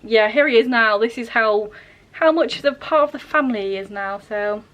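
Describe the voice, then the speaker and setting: A woman with a fairly high voice talks casually to the camera as a book vlogger. Her delivery is informal and self-deprecating, peppered with dry asides. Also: moderate pace, a bit bright, rough recording. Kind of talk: casual chatty vlog to camera.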